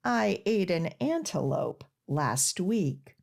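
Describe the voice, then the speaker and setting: A woman's voice: on the low side, sing-song, slow, vocal fry, a little mellow, full character voice. A woman playing a stuffed, sluggish snake in a children's storybook, with a labored delivery.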